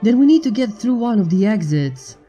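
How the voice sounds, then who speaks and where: A man voicing a young female pony who is sweet, excitable and expressive, in a story reading. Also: high-pitched, brisk. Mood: concerned. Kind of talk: character voice in a fan fiction reading. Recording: music behind the voice.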